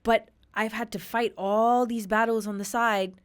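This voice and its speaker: A woman in her thirties from Australia, a podcast guest telling her personal story candidly, with emphatic, animated retellings. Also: on the high side, a little slow, smooth. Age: 30s.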